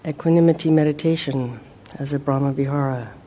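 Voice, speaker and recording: A woman leading a guided meditation as a teacher, speaking gently and in a measured way with long pauses. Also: rumbling low, steady tone, slight background noise.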